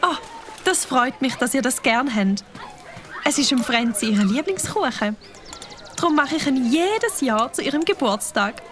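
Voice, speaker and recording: A coaxing fox mother from a cartoon with a Swiss German accent. Her high voice leaps in a sing-song way, with faint music playing underneath. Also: lively intonation, measured pace, a bit bright. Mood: cheerful. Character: warm fox mother, motherly quiz host.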